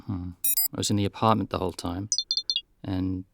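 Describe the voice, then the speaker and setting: Actor as businesslike male investigator for radio play: deep, creaky voice, plain-spoken and brisk. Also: little pitch movement, background noise. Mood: analytical. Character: brisk, matter-of-fact male detective investigating robot accidents. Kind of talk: scripted audio drama.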